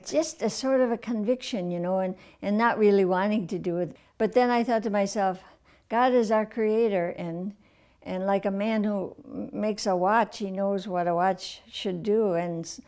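A woman in her eighties from Milwaukee, a retired nun, speaking hesitantly with many pauses in an interview indoors. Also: medium pitch, darkish tone. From Milwaukee, Wisconsin. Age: 80s. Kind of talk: documentary interview. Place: indoor room. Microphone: lapel.

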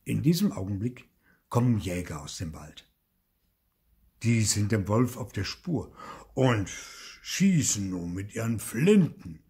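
An older man reading a children's story expressively at home, slowing and dropping to a whisper for suspense. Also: fairly low pitch, big pitch swings, a little mellow, breathy edge. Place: indoor study with bookshelves.

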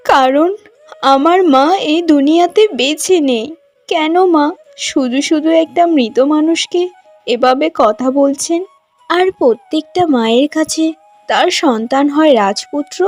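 A woman's voice: high-pitched, darkish tone. A woman playing a patient young village bride in a cartoon, pleading and deferential. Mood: hurt. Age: young adult. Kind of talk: cartoon voice acting. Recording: music behind the voice.

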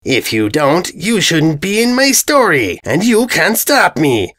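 Man playing a slow, gloating, dim-witted armoured brute. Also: on the high side, huge pitch swings, a bit bright, pitched above the performer's own voice, exaggerated voice. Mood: boastful defiance. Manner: childish broken grammar, slow gloating delivery. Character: crude, boastful, dim-witted male invader in spiked armour.

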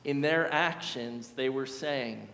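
A man's voice: medium pitch, vocal fry, a bit bright. Earnest male pastor in an echoey church. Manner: earnest, measured preaching with slow emphatic repetitions. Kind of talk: sermon. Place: large church sanctuary.

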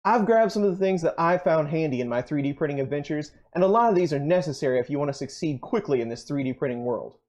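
A young man hosting a channel, briskly running through a list of tools in a scripted, upbeat way with dry jokes, recorded in a basement workshop. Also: on the high side, animated, darkish tone. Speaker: channel host. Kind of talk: scripted tool rundown.

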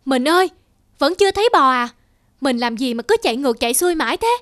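A woman's voice: sits high, expressive, quick pace, a bit bright, no echo, studio quality, faint music behind. A woman voicing a scolding, exasperated peasant wife in a folktale cartoon, nagging and sarcastic. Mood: worried, nagging.

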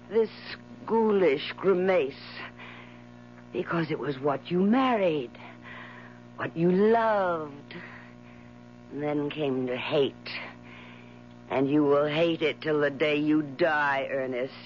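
An actress in a 1970s radio drama playing a bitter, mocking dying wife with scornful, drawn-out theatrical phrasing. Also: female voice, low-pitched. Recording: muffled 1970s radio recording with hum.

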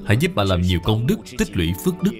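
A man's voice: on the low side, huge pitch swings, very fast. A man voicing a stern senior Buddhist brother in a cartoon, grave, instructive and reproving. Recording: music behind the voice.

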